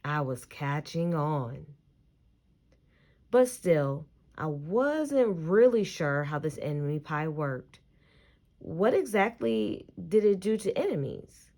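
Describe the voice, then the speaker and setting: A woman reading a children's picture book aloud, expressively and deliberately, stressing key words as she tells the story. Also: deep voice, very animated, mellow.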